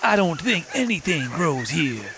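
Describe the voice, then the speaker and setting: Man playing gravelly, doubtful adventure sidekick. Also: a little high, big pitch swings, a little slow, noisy background. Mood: skeptical. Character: doubtful, plain-spoken sidekick to an adventure hero. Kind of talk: radio play acting.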